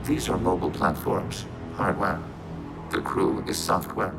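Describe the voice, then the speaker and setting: An actor voicing a calm, logical machine mind in a video game, measured and formal, with synthetic processing on the voice. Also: male voice, sits low, over music. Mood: clinical, informative. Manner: measured, clinical, formal, speaks as "we". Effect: synthetic robot voice processing. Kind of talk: video game character acting.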